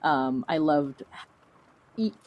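A woman's voice: deep voice, animated, drawn-out words, dull audio. A woman talking casually as an interview guest, hesitating and repeating words for emphasis. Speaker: podcast guest, creator.

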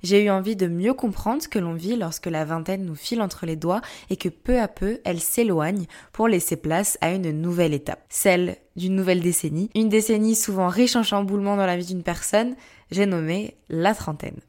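A woman's voice: lowish voice, moderate pace, darkish tone. Young woman hosting a podcast. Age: young adult. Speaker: podcast host. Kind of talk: casual podcast monologue.

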